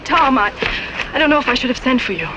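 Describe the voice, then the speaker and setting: An actress playing a fretful, troubled wife in an old radio western drama. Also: female voice, high-pitched, animated, fast. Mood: worried. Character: anxious, troubled frontier wife. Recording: muffled, noisy old radio recording.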